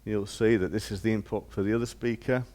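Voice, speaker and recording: A man's voice: lowish voice, fairly even intonation, fairly quick, a little mellow. A man giving an informal hands-on demonstration as a shop presenter, explaining step by step in plain, casual words.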